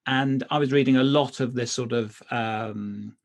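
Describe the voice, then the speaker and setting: A man's voice: medium pitch, fairly even intonation, measured pace. A middle-aged man, a writer being interviewed, talking casually about his craft and often circling back to rephrase.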